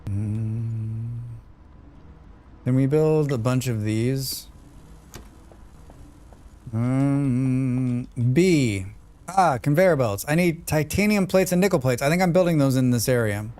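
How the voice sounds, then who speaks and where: A man's voice: mid-range voice, expressive, slow pace, pauses often, darkish tone. A man with a scratchy voice, muttering and thinking aloud as he streams a game. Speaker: streamer playing a demo. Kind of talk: live gameplay commentary.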